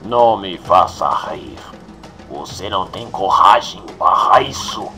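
A man's voice: sits low, animated, a little slow. A man acting a gloating, theatrical masked villain ruler in a motion comic dub, mocking and menacing, with music underneath. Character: sneering, gloating villain tyrant, a masked skull-faced supremacist ruler.

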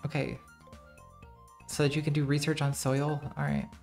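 A woman in her thirties with a very soft, quiet voice, chatting casually to her live-stream chat while playing a game, self-deprecating and laughing often. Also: low-pitched, little pitch movement, moderate pace, soft background music. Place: home streaming room, indoors.

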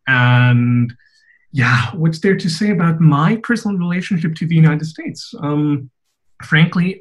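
A man in his twenties from Austria, explaining things articulately and at length as a podcast guest, with a light joking touch. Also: medium pitch. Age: 20s. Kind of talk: casual discussion.

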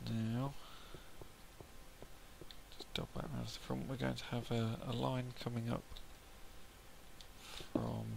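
A man with a hoarse throat, quietly muttering casual gameplay commentary to himself as he builds. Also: mid-range voice. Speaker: gameplay video host. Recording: home mic with loud background noise. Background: cows mooing in the game.

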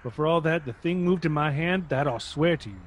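A man playing a stubborn, gruff older husband who blusters and dismisses, in a radio drama. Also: on the high side, brisk, a little hiss or hum, close to an everyday voice. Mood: uneasy.

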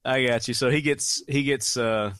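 A man hosting a casual podcast interview, relaxed and chuckling as he explains things. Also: mid-range voice, normal speed, dry recording, studio quality. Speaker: podcast host.

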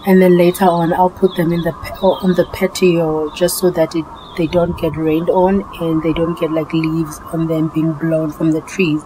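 A South African woman narrating her cleaning vlog in a relaxed, chatty voice-over, often repeating herself. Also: low-pitched, normal speed, hardly any pauses, noisy background. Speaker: home cleaning vlogger.

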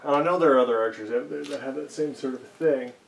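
A man's voice: mid-range voice, fast, gravelly. A young man musing casually to camera, rambling with lots of fillers and self-deprecating asides. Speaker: archery vlogger. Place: small basement archery range, indoors. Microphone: camera mic at a few meters while he moves and shoots. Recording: distant camera mic with faint noise.

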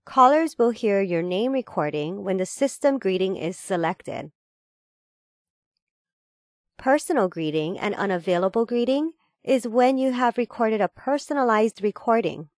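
A woman reading a scripted instructional voice-over in a measured, formal, step-by-step manner. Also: a little high, no room sound, clean studio sound. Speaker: tutorial narrator.

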